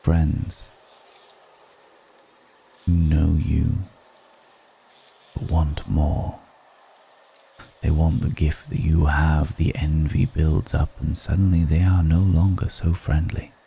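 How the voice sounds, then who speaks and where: Man voicing a very deep, slow, brooding vampire. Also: level tone, a little hiss or hum, exaggerated voice. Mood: solemn, melancholic. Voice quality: very deep, dark, creaky murmur. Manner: slow, measured, poetic, pausing between phrases. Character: brooding undead male vampire, solemn, melancholic. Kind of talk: intimate ASMR roleplay monologue.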